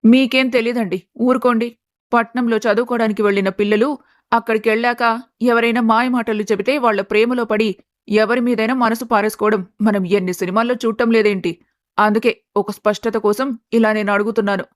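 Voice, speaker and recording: A woman's voice: on the high side, fairly even intonation, a little fast, dry recording, lightly acted. A woman playing a practical, caring middle-aged village mother in a dramatised story, motherly and chiding. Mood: probing, chiding. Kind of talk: dramatised moral story acting.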